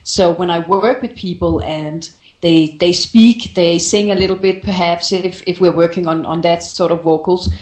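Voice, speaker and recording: A woman's voice: fairly low pitch, steady tone, moderate pace, darkish tone. A woman speaking as a voice coach on a call-in show, explaining conversationally and often rephrasing as she goes. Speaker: voice coach answering callers' questions. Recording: roomy, echoing sound.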